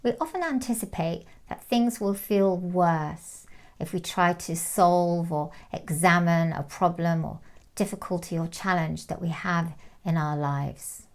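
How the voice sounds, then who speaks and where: A woman leading a guided meditation in a calm, soothing, unhurried manner, with soft phrase endings and long pauses. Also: lowish voice. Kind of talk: guided meditation, gentle instruction.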